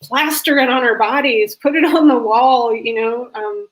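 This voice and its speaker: A woman's voice: on the high side, moderate pace, low-quality recording. A woman hosting a casual video interview, upbeat and encouraging, with lots of ums and you-knows. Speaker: host.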